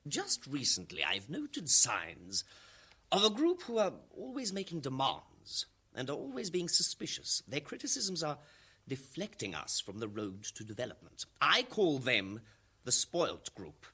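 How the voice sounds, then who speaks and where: An actor playing a stern, menacing colonel who runs a regime's security, pompous and emphatic, in a satirical radio drama. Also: male voice, medium pitch, wildly swooping pitch, measured pace, sharp tone, slightly rough, full character voice. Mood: indignant.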